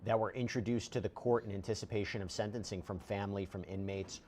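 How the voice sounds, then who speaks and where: A man's voice: on the low side, fairly even intonation, quick pace, darkish tone. A man hosting a legal news panel, asking a reporter direct questions.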